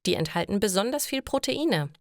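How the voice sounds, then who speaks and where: A woman's voice: medium pitch, animated, fairly quick, creaky, no echo, clean studio sound. A woman voicing a friendly, enthusiastic female bakery shop assistant with eager sales patter, in a storybook lesson. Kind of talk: storybook dialogue in a language lesson.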